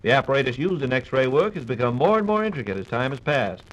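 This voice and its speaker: A man's voice: medium pitch, very animated, quick pace, poor audio. An American man presenting a scripted 1940s radio program and putting questions as its host.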